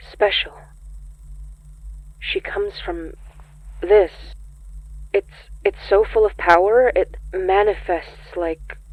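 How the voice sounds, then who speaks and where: A woman playing a gentle, earnest young ghost summoner in an audio drama, speaking haltingly through a thin, radio-like filter. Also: mid-range voice, husky, no echo. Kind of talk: intimate audio drama acting. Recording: thin, phone-like band-limited sound.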